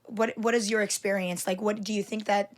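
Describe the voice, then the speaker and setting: A woman's voice: mid-range voice, level tone, brisk. Friendly woman hosting a podcast. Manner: warm, admiring, hesitant with many "like" and "um". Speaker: podcast host. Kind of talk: casual interview.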